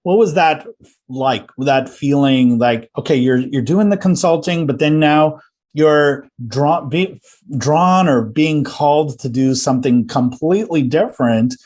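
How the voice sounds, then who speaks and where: A man's voice: on the high side, unhurried, a little mellow. Enthusiastic male podcast host. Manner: friendly, enthusiastic, rambling with restarts. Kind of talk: podcast interview.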